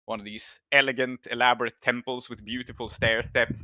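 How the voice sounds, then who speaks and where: A man running a tabletop role-playing game, improvising scene descriptions and rules calls in a halting, thinking-aloud way. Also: medium pitch, little pitch movement, no echo, lo-fi sound.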